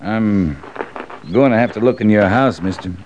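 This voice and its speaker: An actor playing a hard, bitter middle-aged cavalry officer in a radio Western, with a clipped, restrained way of talking. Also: male voice, on the low side, normal speed, background noise, lightly acted. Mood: stern, businesslike. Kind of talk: old-time Western radio drama acting. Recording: dull, band-limited old radio recording.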